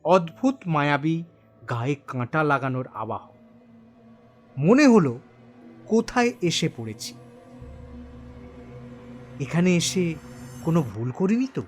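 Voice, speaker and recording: Slow, animated man narrating a horror story. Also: a little high, faint music behind. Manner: suspenseful, deliberate phrasing. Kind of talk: dramatic first-person scripted narration.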